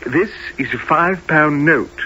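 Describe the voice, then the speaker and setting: A man plays a formal older gentleman in a radio drama, husky yet bright, precise and businesslike. The recording is a little muffled. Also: on the high side, slow, full character voice. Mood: businesslike, trusting. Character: proper, trusting older gentleman, kindly and businesslike. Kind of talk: family radio play acting.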